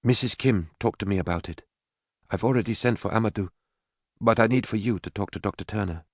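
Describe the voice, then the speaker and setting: A man reads as a youthful daughter in a storybook novel, his pitch lifted. She is earnest, eager and insistent, in a dry, close recording. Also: brisk, no room sound. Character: earnest, persistent young woman, a caring daughter.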